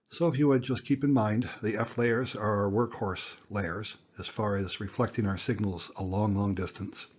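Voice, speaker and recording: A man with a somewhat low, raspy voice teaches in an informal, explanatory way. He is deliberate and emphatic, posing rhetorical questions as he goes. The recording sounds a little dull and lo-fi. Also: darkish tone. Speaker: instructor, amateur radio teacher.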